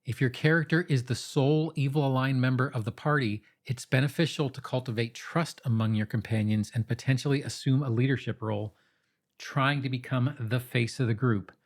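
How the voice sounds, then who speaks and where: Male explainer host, mid-pitched, measured and instructive, reading prepared material with conversational asides. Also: fairly even intonation. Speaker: host of tabletop roleplaying advice videos.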